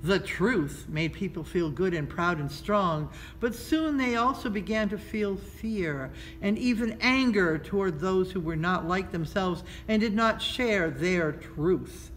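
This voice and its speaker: A woman reads a children's story aloud in a measured, expressive storytelling style, pausing between phrases. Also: mid-range voice, poor audio. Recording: noticeable background noise.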